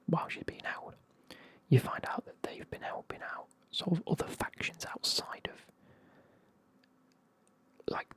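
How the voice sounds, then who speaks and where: A speaker, whispering a casual, rambling recap of a fantasy novel full of fillers. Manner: soft whisper, rambling, frequent fillers and swearing. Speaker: book reviewer. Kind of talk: whispered ASMR book recap.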